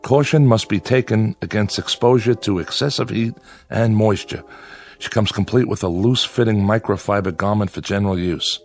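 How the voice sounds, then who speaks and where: An actor playing an exiled man who reads an instruction booklet aloud in a formal, deliberate way in an audio drama. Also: fairly low pitch, natural voice. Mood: reading aloud.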